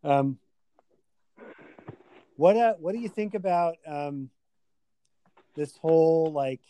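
A man's voice: a little high, animated, slow, dark tone, no echo, rough recording. A man hosting a casual podcast chat with a friend, tired after a long day and rambling with many fillers.

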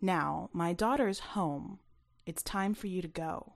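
A woman's voice: lowish voice, slow pace, creaky. A woman voicing a guarded, unyielding mother with a terse, firm delivery in an audio story. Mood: curt, firm.

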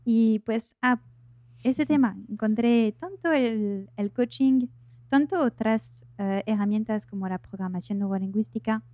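Woman, French accent, native French speaker, soft-edged mellow tone, close dry sound, halting casual interview chat. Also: a little high, no room sound. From France. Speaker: podcast guest, life coach.

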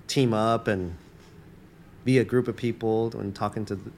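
A man's voice: fairly low pitch, steady tone, moderate pace, a little mellow, slight background noise. A man born in Taiwan, a campus minister, talking reflectively in an interview with many fillers and restarts.

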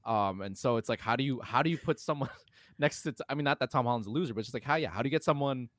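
A man's voice: medium pitch, rapid-fire, no room sound. Blunt man chatting casually, dry close sound. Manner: blunt, informal, swearing. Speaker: podcast co-host. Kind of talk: casual film discussion.

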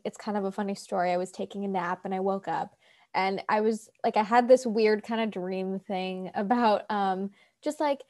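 A woman's voice: mid-range voice, a little mellow. Chatty eighteen-year-old woman, lots of fillers. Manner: chatty, filler-heavy storytelling. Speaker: founder of a theater company. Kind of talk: casual interview answer.

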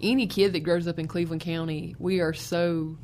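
A woman's voice: lowish voice, fairly even intonation, a little mellow, slight background noise. A young woman with a Southern US accent, a musician chatting upbeat as a guest in an interview. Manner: chatty, upbeat, talks quickly. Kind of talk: casual interview chat. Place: museum studio.

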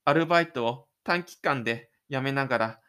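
A man's voice: medium pitch, moderate pace, fairly bright tone, clear, resonant tone. A man reading scripted third-person narration for a self-made audio drama.

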